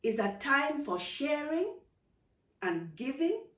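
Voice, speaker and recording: A woman's voice: sits high, big pitch swings, slow, fairly bright tone, voice pushed up, exaggerated voice. A woman voicing an exuberant, teasing aunt in a storybook, playful and commanding, with dialect phrasing. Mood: warm, ceremonious. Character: exuberant, teasing adult aunt who loves Christmas. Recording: roomy, distant microphone.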